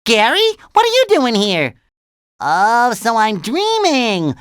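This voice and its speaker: A man voicing an excitable, naive, cheerful young cartoon sea sponge in a video game, with a squeaky nasal voice and eager, childlike earnestness. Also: slow pace, clear, resonant tone, no room sound, studio quality, full character voice. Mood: chatty, eager. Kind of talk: animated video game voice lines.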